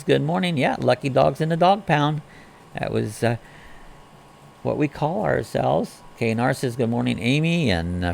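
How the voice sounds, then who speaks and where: Hoarse, gravelly man chatting easygoing. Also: mid-range voice, slight background noise. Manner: easygoing, rambling, greets viewers by name. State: crackly, hoarse voice from a respiratory illness. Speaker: host of a morning live chat. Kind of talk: casual live-stream chat, reading viewer comments aloud.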